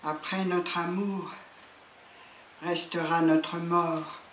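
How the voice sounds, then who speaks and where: A poet reads verse aloud extremely slowly and solemnly. The phrases are measured, with long pauses between them. The room is echoey, with some noise behind. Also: mid-range voice, level tone, fairly bright tone. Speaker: poet reading at a book event. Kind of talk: slow, solemn poetry recitation. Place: indoor literary event room.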